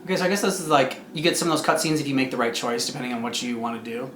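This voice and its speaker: A woman's voice: extremely low pitch, very fast, background noise. A woman hosting a reaction video, chatting casually as she comments on game footage. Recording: roomy, with game music behind.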